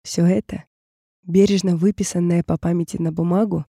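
A woman reading a scripted personal story in a measured, reflective way, in short phrases. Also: on the low side, fairly even intonation, vocal fry, dark tone, husky, dry recording, clean studio sound. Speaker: narrator of an audio performance. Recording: music bed under the voice. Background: music.

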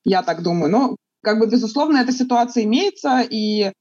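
A woman from Russia answering interview questions in a thoughtful, halting way, with many fillers and restarts. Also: a little high, animated, a little mellow, slightly rough, no room sound, muffled recording.

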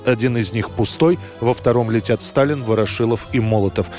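A man narrating a scripted history programme in a measured, storytelling way. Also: medium pitch, a little mellow, soft background music.

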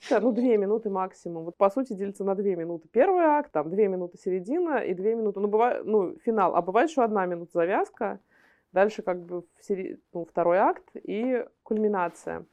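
A woman's voice: medium pitch, lively intonation, fairly quick, dark tone. A woman explaining her work informally as an interview guest, wordy and often rephrasing as she goes. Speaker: animation screenwriter and script editor, interview guest.

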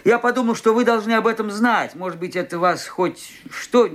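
An actor playing a fussy, well-meaning older doctor in a radio drama, hesitant and consoling. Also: male voice, high-pitched, moderate pace, a bit bright. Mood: earnest, consoling. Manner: hesitant, repeating himself, consoling.

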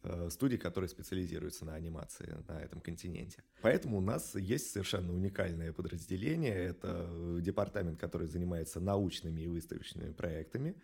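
Low creaky guy giving interview answers, measured and articulate, studio boss explaining stuff, soft dark tone. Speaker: animation studio director, interview guest.

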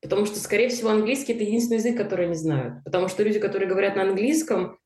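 A woman's voice: mid-range voice, very fast, a little mellow, reverberant. A woman who teaches German, talking emphatically in a casual podcast conversation.

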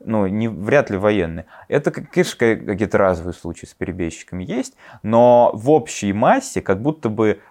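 Podcast co-host, male, lowish voice, unhurried analytical delivery with frequent hesitations. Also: a little mellow. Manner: thoughtful, hesitant, many fillers. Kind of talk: casual discussion.

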